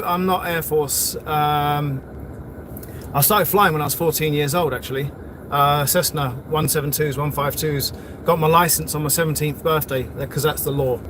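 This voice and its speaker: Rambling Londoner talking over road noise. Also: male voice, fairly high pitch. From London, England. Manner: rambling, chatty, sweary, with frequent fillers. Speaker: livestreaming vlogger. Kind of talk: casual live chat while driving. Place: in a vehicle on city roads near an airport. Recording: loud road noise from a moving car. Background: loud traffic and road noise.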